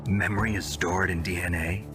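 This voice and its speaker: World-weary male soldier, acted by a man: very low, terse, blunt game-cutscene voice over music. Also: steady tone, normal speed, lightly acted. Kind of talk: video game cutscene acting.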